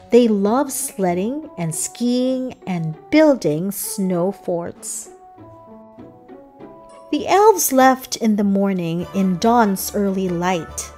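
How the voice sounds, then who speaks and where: Female children's book narrator: expressive verse reading, unhurried pace, lively pitch, music underneath. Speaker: storybook narrator. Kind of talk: children's read-aloud, scripted narration.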